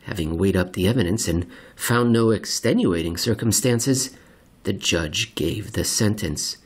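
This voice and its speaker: A man reading a scripted essay aloud as narration, measured and deliberate, with theatrical emphasis. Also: on the low side, breathy edge. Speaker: podcast host reading aloud.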